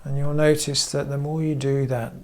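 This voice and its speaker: A man guiding a meditation as a therapist, giving gentle instruction in short, measured phrases with frequent pauses. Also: mid-range voice, little pitch movement. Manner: measured, pausing often between short phrases. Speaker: therapist leading guided meditation.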